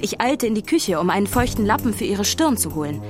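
Woman as ghost story narrator, medium pitch, suspenseful, dramatic, children's radio play, music behind. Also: big pitch swings, brisk, lightly acted. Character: storyteller who witnessed the haunting.

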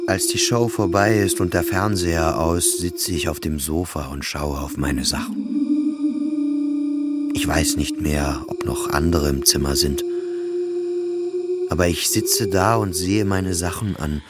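A man's voice: sits low, unhurried. A man playing a depressed, anxious, self-loathing psychiatric patient who narrates in a confessional radio drama. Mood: weary, dazed. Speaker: narrator of an autobiographical radio play. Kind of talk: scripted first-person radio drama narration. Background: music behind the voice.

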